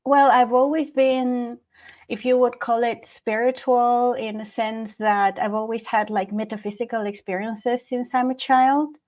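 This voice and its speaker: A woman's voice: fairly high pitch, steady tone, unhurried, muffled recording. A woman in her forties from Chile, a Spanish speaker, chatting casually as an interview guest. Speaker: interview guest, hypnotherapist and channeler. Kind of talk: casual personal storytelling.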